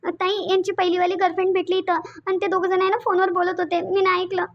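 A woman's voice: very high-pitched, completely flat delivery, fast, dull audio. A woman voicing a jealous, insecure young wife in a Marathi cartoon, speaking Vidarbha dialect and insistently repeating her demands. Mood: upset, insecure. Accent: Vidarbha (Varhadi) Marathi. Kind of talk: Marathi cartoon story dialogue.

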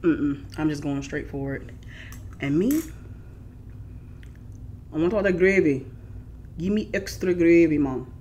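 A middle-aged woman hosting a casual mukbang vlog at home, lively and chatty, sometimes dropping to soft whispers. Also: deep voice, unhurried, long pauses, a little hiss or hum. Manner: animated, shifts to soft whispers while eating. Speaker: food vlogger. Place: living room at home, indoors.

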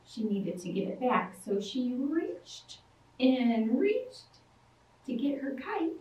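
Animated sing-song storytime woman. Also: sits high, slow, distant mic. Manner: animated, sing-song, acts out shouts and roars. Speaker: children's librarian. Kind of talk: storytime read-aloud for young children. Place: library storytime room with toys. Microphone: far camera. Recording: echoey room, voice from afar.

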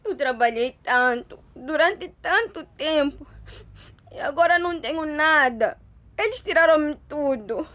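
A woman's voice: high-pitched, expressive, a little slow, bright, forceful tone, exaggerated voice. A woman playing a despairing, wronged young woman in a radio drama, tearful and plaintive. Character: despairing young woman, a wronged cousin who lost everything.

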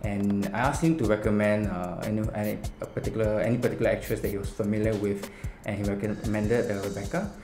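A young man with a Singaporean accent giving an interview as a film director, pausing mid-sentence. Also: lowish voice, steady tone, reverberant. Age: young adult. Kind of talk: seated interview. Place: small indoor room. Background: music.

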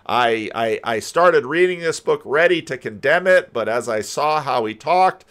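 An older man giving casual, rambling commentary as a host, halting and thinking aloud. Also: medium pitch, lively intonation, a bit bright, smooth. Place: home room lined with books. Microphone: desk microphone, close, with headphones.

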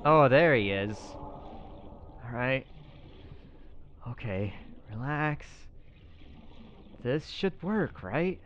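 A man voicing his character in a survival game roleplay, excitably thinking aloud to himself. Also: medium pitch, very slow, pauses often, muffled recording, slight background noise.

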